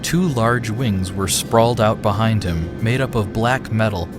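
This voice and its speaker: A man reading an action story aloud with a steady, dramatic delivery. His voice sits low, and clear music plays underneath throughout. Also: a little slow. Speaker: narrator. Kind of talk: scripted story narration.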